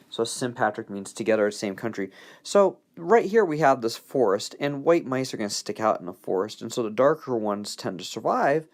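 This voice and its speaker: A man teaching biology in an informal recorded lecture, talking in a conversational, folksy way with joking asides. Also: mid-range voice.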